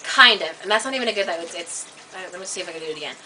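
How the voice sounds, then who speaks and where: A woman vlogging in a casual, rambling monologue to camera, full of "like" and "um", getting animated and high when worked up. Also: very fast. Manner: chatty, filler-heavy, many "like" and "um" restarts. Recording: distant webcam mic with faint hiss.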